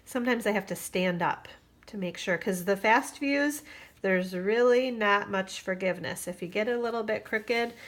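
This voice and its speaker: A woman's voice: medium pitch, moderate pace. Stuffy, nasal woman demonstrating crafts. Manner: chatty, with fillers and asides. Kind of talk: casual tutorial.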